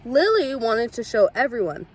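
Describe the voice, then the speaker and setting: Expressive woman reading a children's book. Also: on the high side, moderate pace, slightly rough. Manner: expressive storytelling. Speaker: storybook reader. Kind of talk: children's read-aloud.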